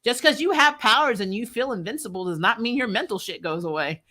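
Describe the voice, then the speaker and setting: A woman hosting a casual fan livestream, talking in an animated, blunt, jokey way with some swearing. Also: a little high, fairly quick. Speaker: show host reviewing superhero TV and reading live comments. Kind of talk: casual livestream chat, rambling fan commentary.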